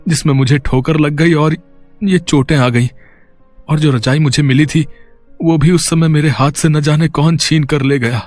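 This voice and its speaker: A man playing a poor, caring cobbler and father in an animated story, explaining things plainly and earnestly. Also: medium pitch, close to an everyday voice.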